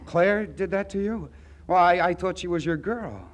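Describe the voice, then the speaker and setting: A man's voice: high voice, moderate pace, close to an everyday voice. An actor playing a naive, earnest young small-town man in love, in 1940s radio comedy style. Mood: flustered. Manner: earnest, boyish, gee-whiz. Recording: vintage 1940s radio broadcast.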